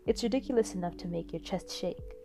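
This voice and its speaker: A woman's voice: lowish voice, a little fast, gravelly, mellow. A woman reading scripted second-person story narration expressively, as the narrator of an audio fan fiction. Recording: music under the voice.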